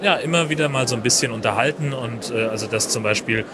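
A man hosting his podcast in a chatty, relaxed way with many fillers and restarts, recorded outdoors at a busy conference venue. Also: mid-range voice, fast talker. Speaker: podcast host. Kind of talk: casual solo podcast recap. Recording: noisy outdoor background. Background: crowd and traffic ambience.

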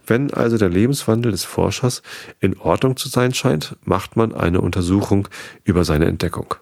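A man's voice: fairly low pitch, normal speed, creaky, darkish tone. A man voicing a self-important old male geographer in a read-aloud storybook, in a raised, lofty tone.

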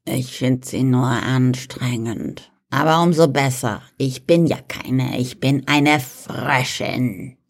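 A sassy lady frog with a dry, teasing drawl, put on by a man reading a storybook. She takes her time, pitched a little above his plain voice and slightly set back from the mic. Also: takes it slowly, creaky, hardly any pauses. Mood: cheeky. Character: cheeky sarcastic female frog, calm and dry.

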